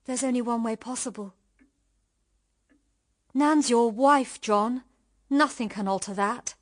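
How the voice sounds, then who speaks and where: A slow, fairly high voice in a dry studio: a self-sacrificing sister in a radio play. She is grave, earnest and resolute. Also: dry recording. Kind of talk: radio drama acting.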